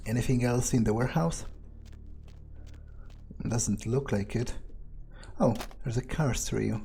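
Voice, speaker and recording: A man's voice: medium pitch, measured pace, creaky, mellow, slight background noise. A man playing a cocky, nonchalant vampire, muttering his thoughts aloud in character as game commentary. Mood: cocky, casual. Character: nonchalant, cocky male vampire, casually menacing. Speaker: gameplay streamer role-playing a character.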